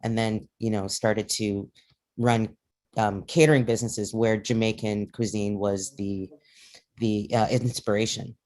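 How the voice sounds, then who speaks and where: A woman's voice: a bass voice, steady tone, unhurried, darkish tone. A woman from Canada, middle-aged, speaking in a casual discussion, rambling with many fillers and restarts. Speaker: panel participant.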